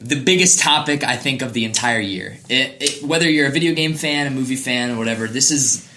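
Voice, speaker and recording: A man's voice: mid-range voice, fairly quick, bright, forceful tone, slight background noise. A man hosting a podcast, chatting casually and emphatically about pop culture with strong opinions. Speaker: podcast host.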